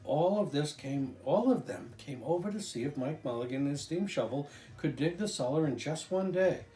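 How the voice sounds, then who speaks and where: Older man, dramatic picture-book storyteller; soft-edged mellow tone, roomy echo, music behind. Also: a little high, normal speed. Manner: expressive, dramatic, with occasional stumbles. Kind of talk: animated children's picture book reading.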